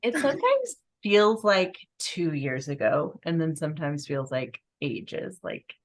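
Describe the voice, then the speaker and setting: A woman co-hosts a podcast in relaxed conversation. Her voice sits on the lower side but moves a great deal in pitch. She talks slowly and chattily. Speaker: co-host. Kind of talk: casual chat.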